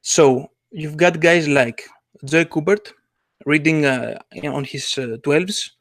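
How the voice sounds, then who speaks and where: A man co-hosting a casual sci-fi podcast, speaking haltingly with many fillers and clear enthusiasm. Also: a little high, fairly bright tone, no echo, clean studio sound. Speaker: podcast co-host, comic artist. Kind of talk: casual chat.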